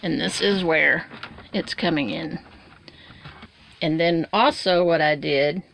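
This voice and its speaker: Slow, gravelly older woman. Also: low-pitched, fairly bright tone, a little hiss or hum. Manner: plain unhurried show-and-tell. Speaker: vlogger. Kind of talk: casual walkthrough vlog. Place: inside a small truck camper, then on its roof outdoors.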